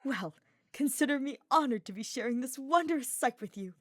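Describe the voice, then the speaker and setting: A woman's voice: high voice, lively intonation, normal speed, dry recording, studio quality, pitched above the performer's own voice. A woman voicing an exuberant, earnest young male knight with effusive, florid speech in a story reading. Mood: delighted. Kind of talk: dramatised fiction reading.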